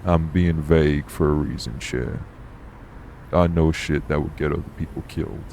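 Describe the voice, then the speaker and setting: Man playing a very deep, gravelly shady immortal. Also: normal speed, warm tone, a little hiss or hum. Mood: guarded, grim. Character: gruff, shady long-lived supernatural man with a past. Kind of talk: audio roleplay acting, urban fantasy drama. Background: vehicle on the road.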